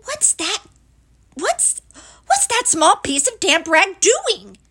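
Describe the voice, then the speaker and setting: Squeaky little boy piglet, played by a woman, very high, timid, stammering, fretful, storybook character. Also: very animated, a little slow, sharp tone, heavily acted. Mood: startled, fretful. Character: small timid anxious young piglet, male.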